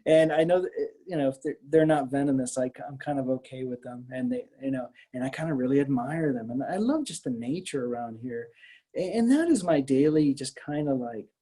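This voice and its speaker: A middle-aged man with an American accent speaks casually as an interviewed guest. His voice is dark and relaxed at an average pitch. He feels his way through sentences, often doubling back and repeating words. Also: a little fast. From Miami area, Florida, US. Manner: hesitant, rambling, with many hedges and repeated words.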